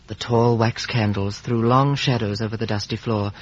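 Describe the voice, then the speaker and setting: An actor playing an earnest young gentleman who also narrates, in an old radio drama. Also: on the low side, steady tone, normal speed, lightly acted. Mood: reflective. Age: young adult. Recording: muffled vintage radio broadcast recording.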